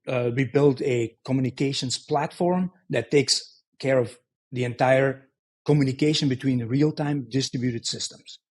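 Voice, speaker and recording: A middle-aged man, speaking as an interview guest. His voice is medium-pitched and somewhat husky. He explains things conversationally and haltingly, often repeating words. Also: a little mellow. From Belgium. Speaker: podcast guest, engineering executive.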